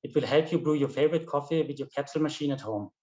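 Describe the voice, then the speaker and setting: German-accented man pitching an invention. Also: quick pace, a little mellow. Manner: earnest, demonstrating a device. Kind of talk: rehearsed product pitch. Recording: roomy, dull lo-fi sound.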